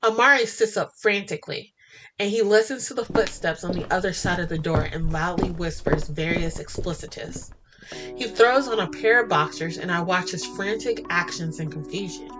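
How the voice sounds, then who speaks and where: A woman narrating a first-person romance story as podcast host, in a flirty, expressive, dramatic style. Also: on the low side, moderate pace, music in the background, rough recording.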